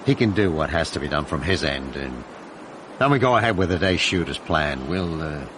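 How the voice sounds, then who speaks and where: Very deep, domineering film director. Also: male voice, animated, moderate pace, fairly bright tone, slight background noise. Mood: shaken. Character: domineering, callous American male film director. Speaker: actor in a radio drama. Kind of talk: radio mystery drama acting.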